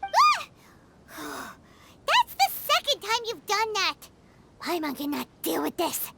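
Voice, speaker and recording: A shrill, sky-high little fairy companion, bouncing all over her range as she frets and exclaims in the third person. Her voice is squeaky and childlike. The game audio carries a steady loud hiss. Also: slow, creaky, full character voice. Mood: startled. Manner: excitable, speaks of herself in third person. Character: tiny female fairy-like travel companion, excitable and dramatic. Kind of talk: game voice acting.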